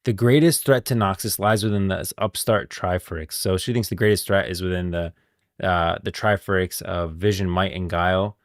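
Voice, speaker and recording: A man's voice: deep voice, steady tone, normal speed, no echo, studio quality. A man giving casual, opinionated live-stream commentary, thinking aloud with emphasis.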